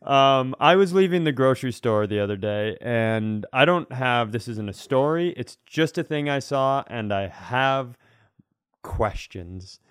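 A man's voice: medium pitch, lively intonation, a little slow, smooth, no echo, studio quality. A man co-hosting a comedy podcast in casual conversation, rambling through wry anecdotes and speculation.

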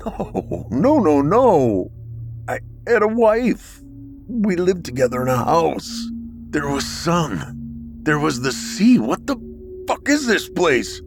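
A man voicing a desperate man woken in a strange future, shouting and cursing in panic. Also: a little high, big pitch swings, a little slow, gravelly, faint music behind, voice pushed up, heavily acted. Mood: frantic.

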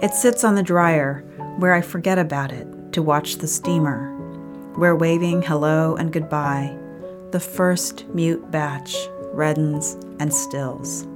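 Woman reading her poem, low voice, slow n measured, clear music underneath. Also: steady tone. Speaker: poet reading own poem. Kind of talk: literary poetry reading, measured.

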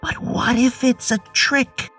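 A man voicing anxious, excitable little sisters with a pleading, childlike delivery in a storybook tale. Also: very high-pitched, slow pace, slightly rough, faint music behind, pitched above the performer's own voice, exaggerated voice. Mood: wary. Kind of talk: storybook character voice.

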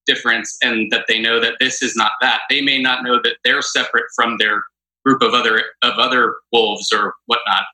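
A man's voice: mid-range voice, steady tone, normal speed, bright, forceful tone, low-quality recording. A man in a casual group discussion who lays out his points analytically, step by step.

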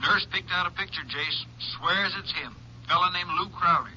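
A small-town sheriff, reports in terse, folksy phrases through a telephone filter. The voice is high and piercingly bright. It has the dull sound of 1950s radio drama. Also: male voice, moderate pace. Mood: businesslike. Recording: dull old radio recording, faint noise.

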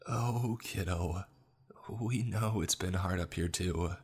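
A man voicing an ancient ghostly grandmother with a raspy, sweet, soothing way of talking. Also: low voice, slow pace, heavily acted. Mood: sad, consoling.

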